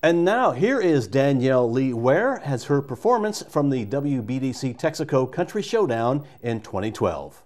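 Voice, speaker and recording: A man's voice: medium pitch, very animated, moderate pace, few pauses, a little mellow. A man with an American accent, hosting a conversational local TV interview.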